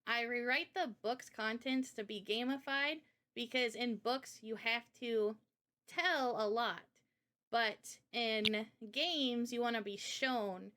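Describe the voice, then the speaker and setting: A woman with a slightly high voice talks in a relaxed livestream presentation style. She explains her work as a game developer in informal, slangy language. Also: takes it slowly, a little hiss or hum. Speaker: game developer presenting a visual novel project.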